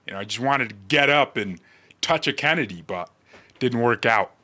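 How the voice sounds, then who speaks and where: A man rambling casually and comedically to livestream viewers in a brash, mocking, animated manner. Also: medium pitch, normal speed.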